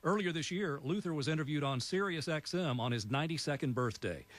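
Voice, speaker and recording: A man, a news anchor reading a tribute in a measured way. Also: on the high side, noisy background. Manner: warm, measured tribute delivery. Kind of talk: broadcast news narration.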